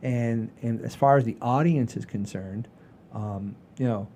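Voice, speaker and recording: Mellow, folksy older man chatting. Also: medium pitch, slight background noise. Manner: folksy, mildly profane, halting and repetitive. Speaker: podcast host. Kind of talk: casual rambling podcast chat.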